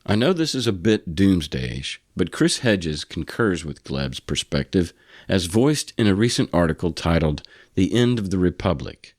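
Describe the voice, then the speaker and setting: A man's voice: low voice, very animated, normal speed, a little mellow, dry recording, studio quality. A man with an American accent reading a scripted podcast introduction as the host.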